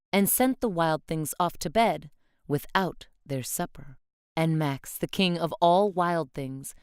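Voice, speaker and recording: A woman reading a children's picture book aloud, gentle and expressive with a rhythmic lilt. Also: fairly low pitch, smooth, no room sound, clean studio sound. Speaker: storybook reader. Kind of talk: read-aloud children's storybook narration.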